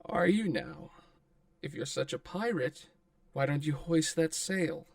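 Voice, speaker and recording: A man playing a tall, menacing, wry pirate captain in a script table read, commanding and unhurried. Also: a little high, gravelly, a little mellow, no echo, studio quality. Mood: amused challenge.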